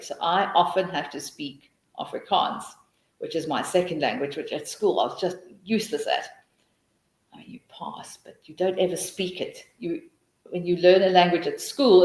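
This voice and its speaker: A woman's voice: fairly low pitch, echoey room, low-quality recording. A middle-aged woman with a South African accent, answering questions in a webinar and thinking aloud with many restarts. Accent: South African English. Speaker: teacher trainer.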